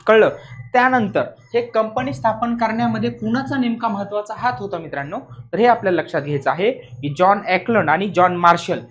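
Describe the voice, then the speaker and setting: A male exam coach with a fairly high voice explains material as in a classroom. He is didactic and repeats the important points, often putting brief rhetorical questions to his students to make sure they follow. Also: fairly quick, a bit bright. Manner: didactic, repetitive, checking understanding with rhetorical questions.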